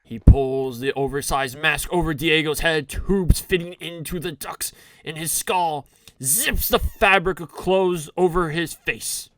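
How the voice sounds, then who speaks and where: High-pitched man, slow theatrical narration. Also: a bit bright, no room sound. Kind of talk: dramatic scripted narration, campy retro serial.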